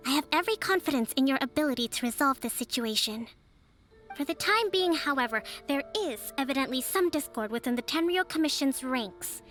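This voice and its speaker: A woman voicing a calm young strategist who leads with polite, measured diplomacy in a game. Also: high-pitched. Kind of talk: game voice acting.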